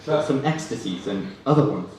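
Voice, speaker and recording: A man performing stand-up comedy, hesitant and self-deprecating, reading parody prose in a deadpan way. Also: medium pitch, normal speed, far from the mic, over a noisy crowd. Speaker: stand-up comedian reading own mock novels. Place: student union comedy stand-up event, indoors. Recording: roomy, noisy live room recording from afar. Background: audience laughter, room noise.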